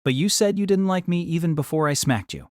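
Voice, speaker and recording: A man's voice: a little high, lively intonation, fairly quick, no room sound, studio quality, lightly acted. An actor playing a wry, exasperated young man, delivering wordy first-person story narration and dialogue in a deliberating, self-conscious way. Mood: puzzled, lecturing.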